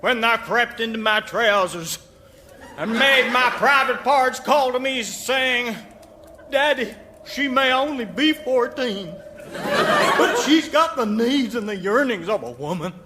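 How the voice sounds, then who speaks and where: High-pitched ranting televangelist. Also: male voice, animated, unhurried, background noise. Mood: indignant, fervent. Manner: bombastic, mock-biblical preaching. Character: ranting self-righteous American televangelist, a damned sinner. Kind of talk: broadcast radio comedy acting.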